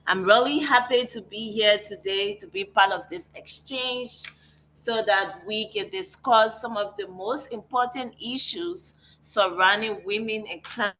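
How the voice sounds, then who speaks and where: A woman's voice: mid-range voice, unhurried, bright, forceful tone, rough recording. A woman with a Liberian accent, speaking as a facilitator on a video call. Her manner is friendly and upbeat. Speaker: facilitator and climate negotiator. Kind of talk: online presentation. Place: noisy spot on a call.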